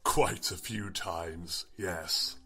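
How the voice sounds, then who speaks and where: Cartoon gentleman Death, played by a man: low-pitched, resigned, drawn-out delivery, sardonic and understated. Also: soft background music, heavily acted. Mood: wry. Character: weary, sardonic gentleman reaper of death, reluctant.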